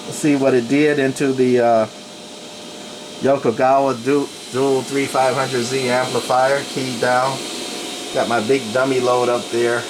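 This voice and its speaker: Man demonstrating gear over clear background noise. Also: mid-range voice, unhurried. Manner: plain-spoken, narrating as he works. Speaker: radio hobbyist presenter. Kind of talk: casual hands-on equipment demonstration. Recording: noisy room with steady equipment hum.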